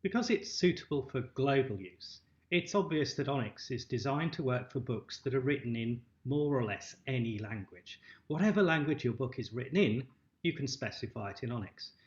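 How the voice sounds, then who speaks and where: A man's voice: medium pitch, lively intonation, normal speed. A man giving a prepared instructional webinar presentation, recorded indoors. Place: indoor room. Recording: dull, roomy webcam-style recording.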